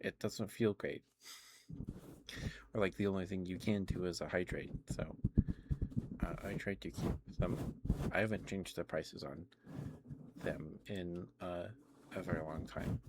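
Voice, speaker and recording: Man, deep register, casual ASMR livestream talk, meandering and hesitant, noisy recording with clicks. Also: steady tone, unhurried, long pauses.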